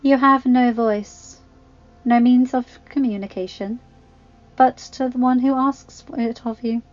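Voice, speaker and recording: A woman's voice: a little high, fairly even intonation, no echo, dull audio, voice pushed up, natural voice. Woman as a smug, gloating villain enchantress. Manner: drawn-out, gloating.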